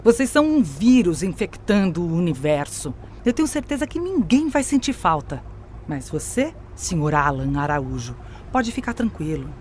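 A smug bossy female ai villain, audio drama, very animated, bit of background noise. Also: fairly low pitch, normal speed. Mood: menacing, righteous. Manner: mocking, domineering, theatrical. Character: scornful, grandiose female artificial intelligence turning on her creator.

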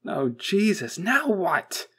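A man's voice: high-pitched, very animated, slow, sharp tone, slightly rough, pitched above the performer's own voice, heavily acted. A man voicing a short-tempered senior scientist in an audiobook, exasperated and sarcastic.

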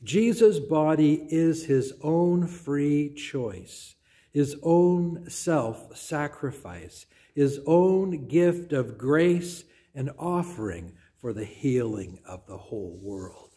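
Slow, measured older male preacher. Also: fairly high pitch, lively intonation. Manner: measured, deliberate preaching with soft trailing phrase endings. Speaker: pastor. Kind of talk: sermon. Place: small church sanctuary, indoors. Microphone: phone or camera at close range.

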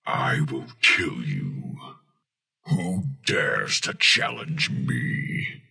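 A giant robot war leader, extremely deep and very slow. He speaks with stern, menacing command through a bass-boosted, pitched-down effect, like a film hero ready for battle. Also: sing-song, sharp tone, heavily acted. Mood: stern, threatening. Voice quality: deep, gravelly. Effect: pitched-down, bass-boosted processing.

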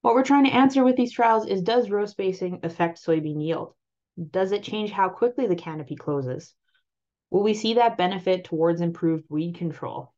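A woman with a Canadian accent presenting on-farm crop trial results over a slide presentation, explaining them clearly and steadily. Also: mid-range voice, moderate pace, a little mellow. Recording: slightly muffled voice-over.

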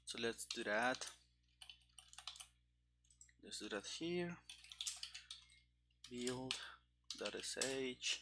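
A man in his thirties thinking aloud as he codes live, halting and muttering in fragments into a headset mic. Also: mid-range voice, expressive, creaky, background noise. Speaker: game developer streaming his coding. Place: small room indoors.

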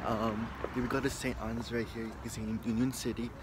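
A man's voice: medium pitch, level tone, brisk, background noise. A young man chatting informally and in a rambling way to a handheld camera for a daily vlog. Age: young adult. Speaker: vlogger. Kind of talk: casual daily vlog chat. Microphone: phone or camera at arm's length.

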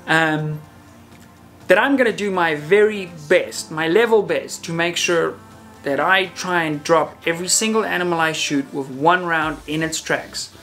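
A man in his thirties talking casually to camera as a channel host, in a conversational way with hesitant, self-qualifying pauses. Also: sits high, fairly bright tone. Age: 30s. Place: indoor room, talking to camera.

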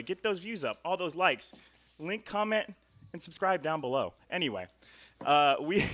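Young male host, fairly high voice, bright and forceful, chatty, rambling, jokey, close stage mic. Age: young adult. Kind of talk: casual, jokey live stage hosting. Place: indoor studio stage. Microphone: close stand microphone.

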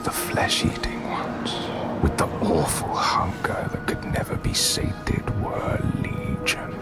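A man voicing an ominous ancient spirit in a video game, telling dark myths slowly and portentously in a breathy, gravelly half-whisper. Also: natural voice. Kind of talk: solemn dramatic storytelling in a video game. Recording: voice under loud music.

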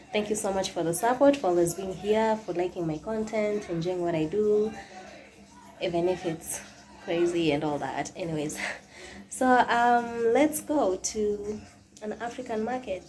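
A woman's voice: medium pitch, warm tone. A young woman in her twenties with a Kenyan accent, chatting casually to a phone held at arm's length as a vlogger. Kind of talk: casual chatty vlog to camera. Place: small indoor room, later outdoors near a market. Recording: phone vlog with background music. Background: music.

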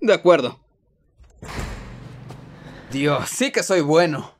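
A man plays a self-assured teenage boy dancer in a dubbed drama. His high voice swings about in an animated way. The accent is Latin American, and faint noise sits behind the breezy delivery. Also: takes it slowly. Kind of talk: amateur fandub acting.

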